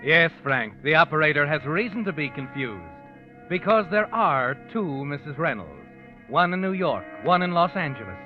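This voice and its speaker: An actor playing a sinister, knowing American narrator in 1940s radio suspense, ominous, deliberate and insinuating. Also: male voice, on the high side, close to an everyday voice. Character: sinister, knowing male narrator taunting the guilty. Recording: muffled vintage radio, music underneath. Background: dramatic music.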